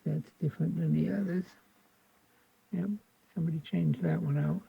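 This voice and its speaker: A man's voice: on the high side, steady tone, moderate pace, gravelly, husky, no room sound. A man with an American accent giving unscripted hands-on repair commentary, thinking aloud in a folksy, colloquial way. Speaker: host of a radio repair video. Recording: narrow phone-like sound.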